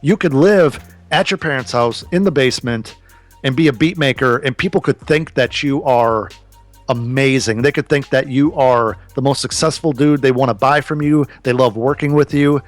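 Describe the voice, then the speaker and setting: A man's voice: medium pitch, normal speed, no room sound, faint music behind. A man in his thirties, a podcast guest, talking earnestly and casually about business, swearing now and then. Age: 30s.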